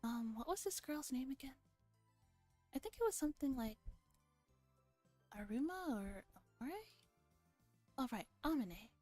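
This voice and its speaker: A woman's voice: high-pitched, expressive, a little slow, long pauses, darkish tone, no room sound, soft background music. A woman streamer with a playful, casually profane manner, reading a visual novel aloud and chatting casually.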